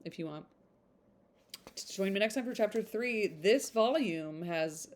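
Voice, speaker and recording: Female reader for a classic novel podcast, mid pitch, informal and amused between passages. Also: lively intonation, a little slow. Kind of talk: audiobook-style reading with casual asides.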